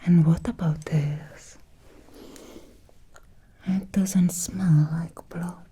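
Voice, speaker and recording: A woman playing an ancient, possessive female vampire in an ASMR roleplay, speaking in a breathy, seductive whisper with lingering, deliberate pauses. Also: fairly low pitch, steady tone, dark tone, heavily acted. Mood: curious, seductive.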